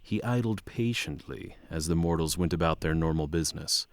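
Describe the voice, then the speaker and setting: A man's voice: very deep voice, normal speed, no echo. A man narrating a fantasy audio drama from a script.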